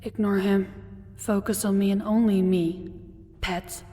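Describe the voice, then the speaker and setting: A woman playing a commanding, possessive demon lord in an audio roleplay, speaking in a cold, deliberate, imperious manner. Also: medium pitch, steady tone, warm tone, soft background music, lightly acted. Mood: possessive, warning. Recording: long hall-like reverb.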